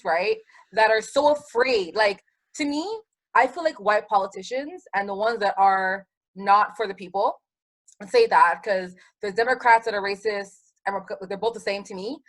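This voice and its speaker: A woman from Trinidad with a Trinidadian accent, co-hosting a podcast in casual chat, animated and quick to laugh. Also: mid-range voice, low-quality recording. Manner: animated, laughs easily, rapid rambling asides. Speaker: podcast co-host.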